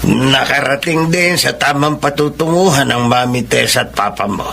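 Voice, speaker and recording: An actor playing a kindly, teasing elderly grandfather in a Filipino radio drama, with a gravelly voice. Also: mid-range voice, moderate pace, pushed and bright, a little hiss or hum. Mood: jovial.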